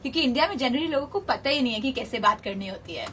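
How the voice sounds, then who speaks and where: A woman's voice: on the high side, animated, fast talker, sharp tone, slightly rough, full character voice. A woman playing a polite, salesy training company agent on a phone call, with an Indian accent and formal patter mixing two languages. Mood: polite, businesslike. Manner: code-switches between Hindi and English, formal phone patter. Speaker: radio producer. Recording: distant phone-call sound with faint noise.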